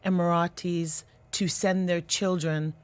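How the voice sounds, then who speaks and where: A woman's voice: on the low side, little pitch movement, a little slow, clear, resonant tone. A woman with a Canadian accent, a guest on a radio show, explaining her views earnestly with frequent restarts. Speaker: guest, youth career coach. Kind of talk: radio interview. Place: radio studio.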